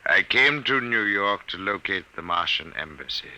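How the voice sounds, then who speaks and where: A man's voice: low-pitched, animated, normal speed, bright, forceful tone, exaggerated voice. An actor playing a big, earnest, professorial man in a 1950s radio drama, speaking formally, precisely and intensely. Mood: formal, polite. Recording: noisy old 1950s radio broadcast recording.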